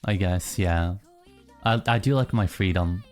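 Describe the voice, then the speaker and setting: A streamer in casual live-stream chatter, teasing chat and answering in mock outrage between low, mumbled musings. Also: a little slow, darkish tone, faint music behind. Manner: reacts to chat, teasing, mock-indignant bursts.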